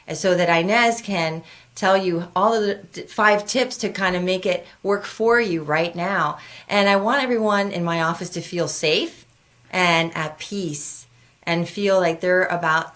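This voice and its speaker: Lady doctor hosting a radio talk show, lowish voice, earnest, talks right to the listener. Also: a bit bright. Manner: earnest, addressing listeners directly with questions. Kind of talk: conversational health talk radio.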